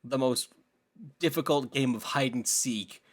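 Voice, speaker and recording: A man's voice: medium pitch, measured pace. A young adult man co-hosts a casual film podcast. He speaks in an opinionated, emphatic way, dropping slangy asides as he makes his points in loose conversation. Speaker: podcast co-host. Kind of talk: casual film discussion chat.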